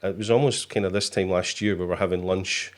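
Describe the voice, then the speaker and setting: A man's voice: low-pitched, fairly even intonation, fairly quick. A Scottish man hosting a casual live show, chatty and joking, with plenty of fillers. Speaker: host. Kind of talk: casual livestream chat.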